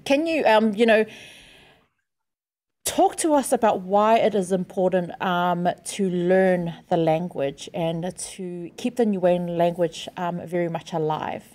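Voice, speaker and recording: A woman's voice: lowish voice, slow pace, warm tone. A woman co-hosting a podcast, chatty and rambling, with many fillers. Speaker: podcast co-host. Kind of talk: casual podcast chat.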